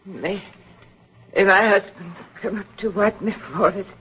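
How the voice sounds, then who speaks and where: A woman's voice: mid-range voice, slow, fairly bright tone. An actress playing a frail, bewildered but steadfast old woman, answering hesitantly in an old radio witch-trial drama. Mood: weak, distressed. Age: older. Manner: faltering, hesitant. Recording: old radio recording with faint noise.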